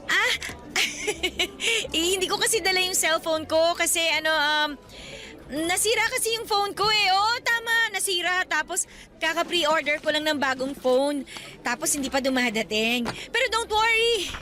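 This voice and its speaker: A jumpy young college girl covering up her lies, high bright voice, faint music behind. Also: animated, normal speed, heavily acted. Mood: flustered. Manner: flustered, making excuses with nervous laughs. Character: young female college student hiding her poverty. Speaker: voice actor. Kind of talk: radio drama acting.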